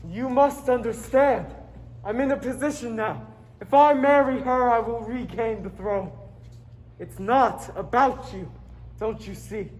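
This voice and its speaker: A man's voice: extremely high pitch, measured pace. A young man on stage playing an ambitious, despairing hero who leads sailors, in a theatrical style. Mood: defensive. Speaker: student stage actor. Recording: roomy stage, loud music and audience.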